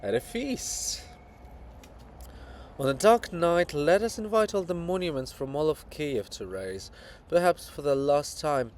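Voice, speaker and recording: A man's voice: on the high side, expressive, unhurried, slight background noise. Man as a sly, theatrical statue poet. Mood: persuasive, sly. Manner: theatrical, emphatic, swooping. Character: sly, grandiloquent male poet monument, teasing and persuasive.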